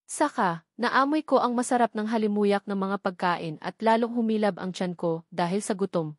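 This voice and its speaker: A woman's voice: medium pitch, moderate pace, no room sound, clean studio sound, natural voice. A woman with a Filipino accent voicing a shy, polite, easily embarrassed young woman in an audiobook romance. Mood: sheepish. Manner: bashful, polite.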